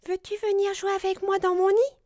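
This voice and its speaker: A small, eager female mouse with a squeaky, very high voice, played by a woman reading a storybook. The creature sounds friendly and helpful. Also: little pitch movement, normal speed, warm tone, no room sound, studio quality.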